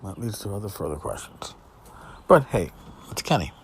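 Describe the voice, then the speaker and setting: Low-voiced man, rambling commentary over noise. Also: sing-song, normal speed. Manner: rambling, exasperated, sarcastic, profane. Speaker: podcast host. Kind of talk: casual solo commentary, reading out emails. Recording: noticeable background noise.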